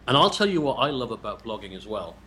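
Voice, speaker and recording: A man's voice: mid-range voice, big pitch swings, quick pace, pushed and bright, a little hiss or hum. A man from England with an English accent, hosting an interview in a chatty, casual way, often repeating words as he starts a thought. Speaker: interview host.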